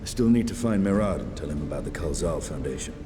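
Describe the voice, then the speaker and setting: A man's voice: extremely low pitch, animated, fast talker, background noise. A man playing an aloof ancient dragon with a dry, curt, formal manner in a video game cutscene. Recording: long reverb with music underneath.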